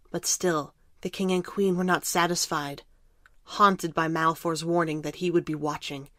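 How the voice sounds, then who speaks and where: A woman's voice: fairly low pitch, a bit bright, no echo, studio quality. A woman narrating a scripted fantasy audio drama in storybook style. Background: music.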